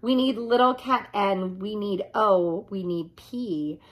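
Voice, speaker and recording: A woman's voice: medium pitch, takes it slowly, close to an everyday voice. A woman voicing small, plucky storybook cats who are eager and emphatic helpers. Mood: earnest. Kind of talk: storybook character reading.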